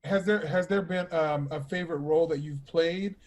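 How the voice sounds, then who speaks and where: A man's voice: on the high side, moderate pace, a little mellow. An American man hosting a conversational interview, attentive to his guest and feeling his way through questions. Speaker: interviewer, host.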